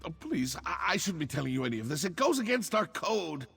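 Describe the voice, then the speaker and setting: An actor playing a cowardly, frightened cultist nobleman in a video game, stammering and pleading in a husky voice. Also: on the high side, very animated, fast, fairly bright tone, soft background music, exaggerated voice. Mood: nervous, resigned.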